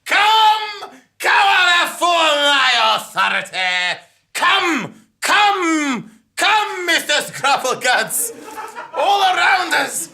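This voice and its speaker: Shrieking high-pitched manic villainous clerk. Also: male voice, expressive, extremely slow, gravelly, bright, forceful tone, raised voice, exaggerated voice. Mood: triumphant. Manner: grandiose, theatrical declaiming. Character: manic, gleeful, power-hungry clerk turned bumbling villain. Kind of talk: comic live radio play acting. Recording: music behind, live theatre stage.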